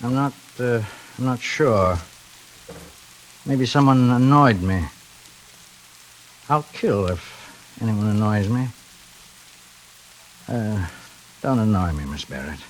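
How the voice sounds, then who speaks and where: An actor playing an unhinged fugitive intruder in a 1940s radio thriller, halting and falsely polite as he threatens. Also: fairly low pitch, animated, poor audio. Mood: brooding menace. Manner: mock-polite, halting, with sudden contempt. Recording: hissy vintage 1940s radio recording.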